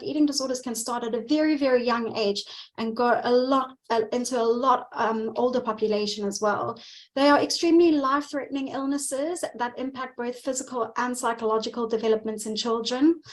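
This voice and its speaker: South African woman, 30s, dietitian presenting over a video call; earnest, repeats words for weight, slightly high voice. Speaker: dietitian giving a webinar. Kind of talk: presentation talk.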